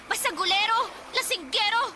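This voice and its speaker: A young Filipino sister with an extremely high voice. She is outspoken, nagging and emotional in a radio drama, with clear noise behind her. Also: lively intonation, moderate pace. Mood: defiant. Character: defiant, outspoken younger sibling, scolding and upset.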